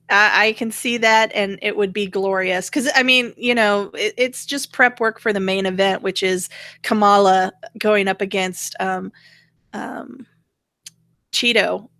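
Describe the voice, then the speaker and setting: An American woman co-hosts a casual political chat with an irreverent, opinionated manner. Her pitch sits in the middle for a woman, and the sound is dry, with very little room in it. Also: gravelly, bright, forceful tone, no room sound. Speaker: podcast co-host.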